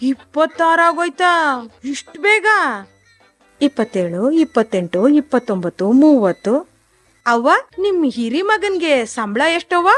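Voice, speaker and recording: A woman's voice: sits high, sing-song, normal speed, soft background music. A woman playing a sly old village dung-cake seller. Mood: sly chatty. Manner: rustic village dialect, sly and sweet-talking while counting. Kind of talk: radio drama acting.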